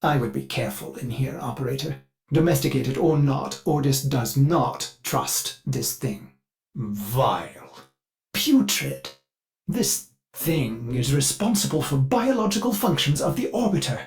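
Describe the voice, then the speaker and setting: An actor playing a fussy, eager-to-please artificial mind of a spaceship in a video game, with an overly polite, theatrical and halting delivery. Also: male voice, mid-range voice, expressive, faint music behind. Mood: disgusted, wary. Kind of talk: video game character dialogue.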